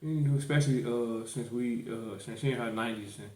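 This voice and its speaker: A man's voice: medium pitch, brisk, darkish tone, reverberant. A man in his thirties, a podcast co-host in casual chat, talking in a laid-back, rambling way with lots of fillers. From St. Louis, Missouri. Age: 30s.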